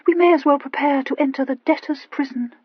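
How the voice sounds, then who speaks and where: A woman's voice: high voice, fairly even intonation, fairly quick, no echo, dull audio, pitched above the performer's own voice, close to an everyday voice. A woman voicing a fretful, melodramatic mother who moans about her nerves. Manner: moaning, fading, melodramatic. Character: fretful, nervous older mother, faint and dramatic.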